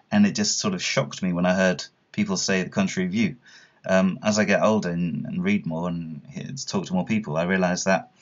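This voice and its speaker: Low-pitched man, dull lo-fi sound. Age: 30s. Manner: reflective, explanatory. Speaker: interviewee, philosopher. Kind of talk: conversational philosophical interview.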